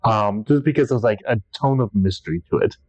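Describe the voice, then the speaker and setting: A man's voice: fairly low pitch, brisk, darkish tone, no room sound. A young man co-hosting a casual podcast chat, easygoing and a bit hesitant. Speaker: podcast co-host. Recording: dry, dull lo-fi sound.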